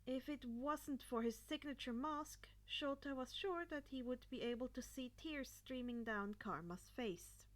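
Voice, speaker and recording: Bright-voiced woman narrating, slow pace. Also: high-pitched. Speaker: narrator, podfic reader. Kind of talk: scripted story narration.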